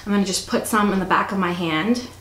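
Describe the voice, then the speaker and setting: A woman with a cold talking casually through a makeup review, chatty and soft-spoken, sometimes close to a whisper. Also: medium pitch, fast. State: stuffy, fighting a cold. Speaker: beauty vlogger. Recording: echoey room, faint noise.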